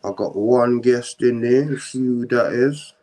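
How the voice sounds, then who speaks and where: A man hosts a live-streamed chat. He sounds relaxed and informal, and his voice sits at an ordinary middle pitch. He ends many questions with casual slang tags. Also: unhurried. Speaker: host of a live stream interview.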